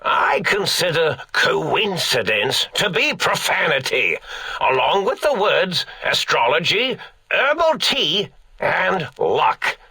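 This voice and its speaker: An actor playing an eccentric, forgetful elderly mad scientist in a video game, creaky and raspy, theatrical, pompous and rambling. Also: male voice, a little high, sing-song, takes it slowly, sharp tone, no echo, heavily acted. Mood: rambling, absent-minded. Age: older. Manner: rambling, pompous, absent-minded, melodramatic.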